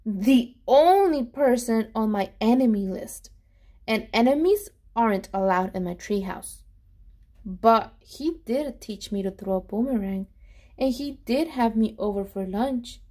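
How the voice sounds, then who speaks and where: High-voiced woman reading a children's storybook. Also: measured pace. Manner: animated, with dramatic gasps and emphasis. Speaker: children's read-aloud storyteller. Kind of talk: expressive storybook reading, pausing to ask children questions.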